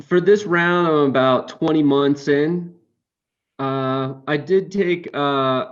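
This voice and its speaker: A man speaks slowly and hesitantly as a panelist, pausing on fillers. His mid-range voice comes through slightly dull call audio. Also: on the high side. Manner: unhurried, hesitant, many fillers. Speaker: panelist, self-taught learner. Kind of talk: casual online round-table chat.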